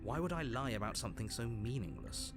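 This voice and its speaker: An actor voicing a stern, calm male narrator who guides the hero through a dark tale. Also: mid-range voice. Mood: ominous. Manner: calm, measured, ominous narration. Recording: game audio with clear music.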